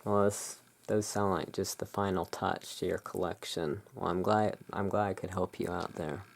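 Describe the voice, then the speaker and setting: A soft-spoken ASMR performer playing the relaxed owner of a gothic curiosity shop in a soothing, unhurried manner. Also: low-pitched, vocal fry.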